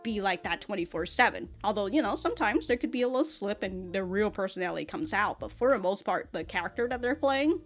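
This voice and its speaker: An American woman giving casual, rambling reaction commentary, with an animated, slangy, sweary way of talking. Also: medium pitch, fast, few pauses, soft background music. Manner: animated, sweary, slangy, often laughing mid-thought. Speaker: reaction video host.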